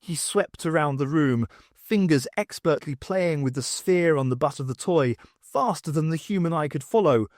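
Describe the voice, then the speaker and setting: Casting: man for dramatic audiobook narration, very animated delivery, close dry recording. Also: fairly high pitch, normal speed, no echo. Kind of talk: scripted dramatic story narration.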